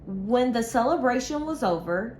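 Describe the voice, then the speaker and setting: A woman reading a children's story aloud with measured, expressive delivery, like a teacher. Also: fairly high pitch, slight background noise.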